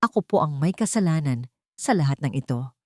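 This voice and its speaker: A woman's voice: low-pitched, animated, a little fast, darkish tone, no echo. Woman reading as a serious grown son in an audio story, earnest, dry close sound. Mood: remorseful. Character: remorseful grown son, firm with his wife.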